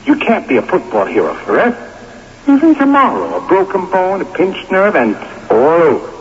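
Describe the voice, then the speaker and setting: Pushy streetwise pal, very animated, reverberant. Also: male voice, high-pitched, normal speed. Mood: urgent, persuading. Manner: insistent, colloquial, cajoling. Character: older pal, streetwise, pragmatic, pushy advice-giver to young athlete. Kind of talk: 1980s radio drama acting. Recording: dull noisy radio recording, long reverb.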